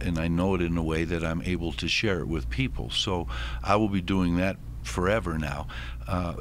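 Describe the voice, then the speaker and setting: Earnest man with a low voice, faint hiss. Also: fairly bright tone. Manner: earnest, halting, with many restarts and fillers. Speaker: guest, medical cannabis advocate. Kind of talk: earnest radio interview talk.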